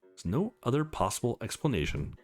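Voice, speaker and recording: A man performs a young woman hacker from a visual novel game. She is nerdy and eager, with a playful, cocky edge. Her delivery swings widely in pitch over faint background music. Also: big pitch swings, a little fast. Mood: eager, confiding.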